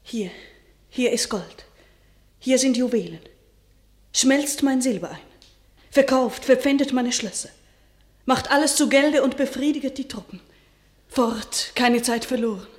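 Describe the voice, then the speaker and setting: Devoted royal lover, radio play, slightly high, slow and earnest. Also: female voice. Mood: urgent. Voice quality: earnest, urgent, at times hushed delivery. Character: devoted, generous royal mistress. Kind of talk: classic German radio drama.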